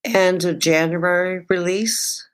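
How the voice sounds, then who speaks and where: A woman's voice: on the low side, slow. A woman hosting a podcast, asking questions in an interview chat.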